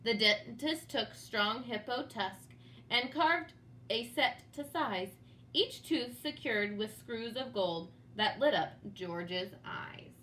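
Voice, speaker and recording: Bright, expressive woman reading a storybook. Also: a little high, slow pace, slight background noise, rough recording. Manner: dramatic, teacherly, with asides to young listeners. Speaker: storybook reader. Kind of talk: expressive read-aloud for children.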